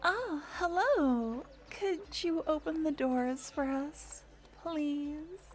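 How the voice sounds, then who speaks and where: A woman voicing a gentle young necromancer girlfriend in an ASMR fantasy roleplay, speaking softly and often whispering. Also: high-pitched, expressive, drawn-out words, heavily acted. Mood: tender. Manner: soft and intimate, often whispering.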